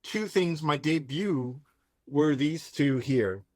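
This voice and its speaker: A man's voice: on the high side, slow, dry recording, clean studio sound. A man from Denmark, a native Danish speaker, talking casually in English as an author being interviewed. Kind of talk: casual conversation.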